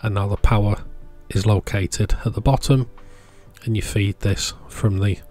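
A man's voice: on the low side, fairly even intonation, measured pace, a little mellow, soft background music. A man giving a casual, plain-spoken voice-over, explaining hobby electronics as the channel's presenter. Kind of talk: casual product showcase voice-over.

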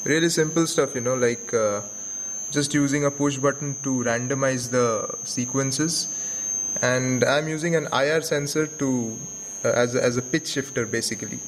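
A young man giving a casual spoken demo in an informal, halting way with pauses. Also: mid-range voice, slight background noise, poor audio. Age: young adult. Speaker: student maker presenting a prototype. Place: indoors at a computer desk. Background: electronic sequencer music.

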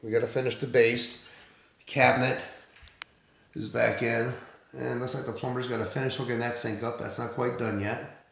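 A man's voice: mid-range voice, flat delivery, normal speed, gravelly, fairly bright tone. A middle-aged man, a renovation contractor, casually walking through a house with a handheld phone and talking about the work. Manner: casual, plain-spoken, upbeat. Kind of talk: walkthrough vlog. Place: house under renovation, indoors. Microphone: phone camera, handheld while walking. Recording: echoey empty rooms.

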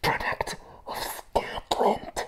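Man playing a crazed whispering circus clown. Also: a bit bright. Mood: unhinged, intent. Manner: halting, repeats words, nervous. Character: crazy, jittery, apologetic male circus clown. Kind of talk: whispered ASMR roleplay. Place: small room with a plain grey wall. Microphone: close to the mic and camera.

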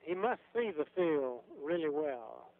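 A man's voice: on the high side, sing-song, unhurried. A man working as a radio sports reporter, interviewing from a locker room in a chatty way with long lead-ins to his questions. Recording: muffled lo-fi radio broadcast recording.

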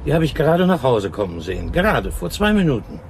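An actor playing a nosy, talkative neighbourhood shopkeeper in a German radio crime drama, speaking familiarly. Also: male voice, mid-range voice, sing-song, fast, slight background noise. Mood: gossipy.

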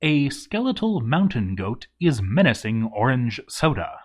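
A man narrates a game tale with a very lively, swinging pitch. His delivery is sarcastic and animated, like scripted comedy storytelling, in an ordinary mid-range male voice. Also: unhurried, slightly rough.

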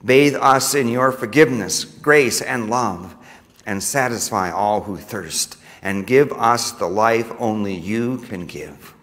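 An American man speaking as a pastor leading worship, reading liturgy to a congregation in a measured, solemn manner. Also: medium pitch, fairly bright tone. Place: church sanctuary during a service. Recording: reverberant church hall.

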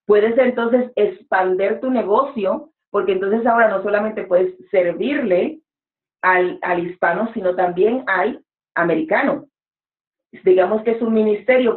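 A woman in her forties, a preacher speaking as an interview guest, earnest and exhorting, talking from a room at home over a webcam call. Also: mid-range voice. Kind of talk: motivational interview talk. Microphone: webcam on a video call. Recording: echoey room, phone-like sound.

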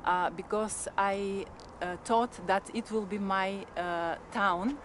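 A language teacher from Bulgaria, a woman with a Bulgarian accent, giving a halting personal speech. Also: medium pitch, fairly even intonation, a bit bright, slight background noise.

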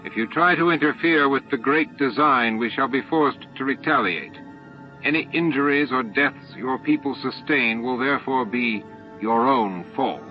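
A man's voice: mid-range voice, pitched above the performer's own voice, close to an everyday voice. Man reading as a formal, commanding alien hive leader. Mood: menacing, righteous. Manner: measured, formal, falsely regretful. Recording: dull lo-fi tape audio with music.

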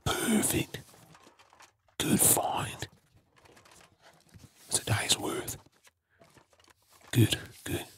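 Man acting whispering, gruff Australian apocalypse survivor. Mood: focused, relieved. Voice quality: breathy, husky whisper. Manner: terse, urgent, slow clipped phrases. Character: gruff, wary male zombie apocalypse survivor and protector. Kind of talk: whispered ASMR role play.

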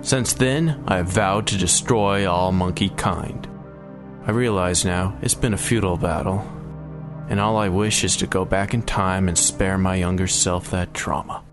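A man's voice: low voice, fairly even intonation, unhurried, over music. A man playing a grumpy, grudge-holding leader in a mock-solemn way for an audio comedy sketch. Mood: brooding. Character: grumpy leader haunted by childhood grudge against monkeys.

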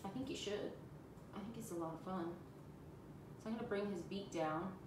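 A woman's voice: mid-range voice, normal speed. Chatty woman teaching painting, echoey room. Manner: chatty and encouraging, talks to viewers while working. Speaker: furniture painting instructor. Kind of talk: live-streamed painting tutorial. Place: room indoors, seated on floor by a cabinet. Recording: echoey room with background noise.

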